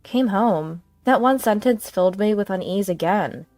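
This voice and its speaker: A woman's voice: mid-range voice, moderate pace, darkish tone, dry recording, faint music behind. A woman reading scripted first-person dramatic narration as a storyteller.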